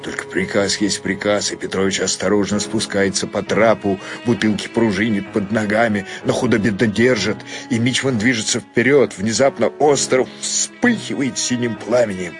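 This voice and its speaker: Low-voiced man narrating literary prose. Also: steady tone, normal speed, few pauses, music in the background. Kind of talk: dramatized literary audiobook narration.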